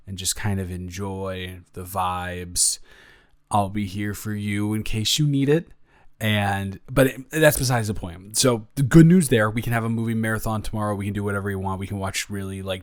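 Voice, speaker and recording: A young man playing a caring, playful roommate with a crush in an improvised audio roleplay, rambling and halting in a casual, teasing way. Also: fairly low pitch, no echo, clean studio sound, close to an everyday voice.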